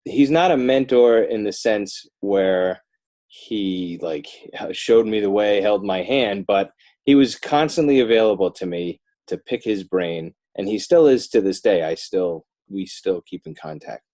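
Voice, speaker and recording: Deep-voiced American man, halting. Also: warm tone. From northern New Jersey, United States. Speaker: interview guest, children's book author and illustrator. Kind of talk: casual interview talk.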